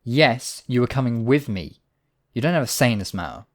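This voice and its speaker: A man's voice: medium pitch, animated, a little fast, close to an everyday voice. A man playing a smug, obsessive demon king in a theatrical audio roleplay, silky and possessive in his wooing. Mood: irritated, threatening. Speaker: voice actor. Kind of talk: theatrical roleplay monologue, ASMR audio drama.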